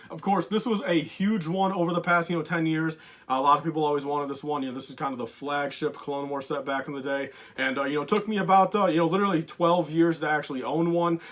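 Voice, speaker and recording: A young man talks fast through a casual countdown, his voice sitting a bit high and starting to go hoarse. He rambles and fills gaps with "uh" and "you know", eager about every item. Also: a bit bright. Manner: rambling, lots of fillers, enthusiastic. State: losing his voice. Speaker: LEGO collector YouTuber.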